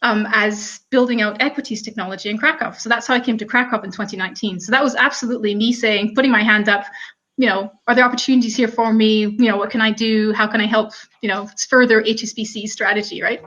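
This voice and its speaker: A woman in her thirties with a Canadian accent, telling her career story in a relaxed talk full of ums and "you know". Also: a little high, quick pace. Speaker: panel speaker. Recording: muffled lo-fi audio.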